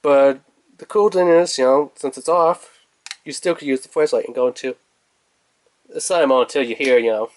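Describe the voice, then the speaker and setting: A man's voice: mid-range voice, normal speed, long pauses, a little hiss or hum. A man giving a casual product review in an informal, rambling way, thinking aloud as he goes.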